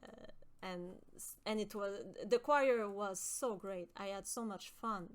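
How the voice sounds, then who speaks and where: A young woman from with a high voice, chatting with her stream viewers and often pausing with fillers. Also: smooth. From Quebec. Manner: hesitant, many fillers and restarts. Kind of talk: casual chat with stream viewers.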